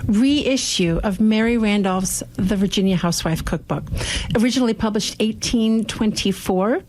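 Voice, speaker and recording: Woman guest on a radio interview, explains stuff clearly in measured phrases, normal pitch, little bit of noise. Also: a little mellow, poor audio. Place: radio studio. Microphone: studio microphone.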